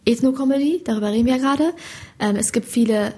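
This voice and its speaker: A young woman from Hesse with a Hessian accent, a stand-up comedian chatting in an interview, animated and slangy, often asking "you know?". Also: a little high, fast talker. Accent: Hessian German. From Hesse, Germany. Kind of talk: casual interview chat.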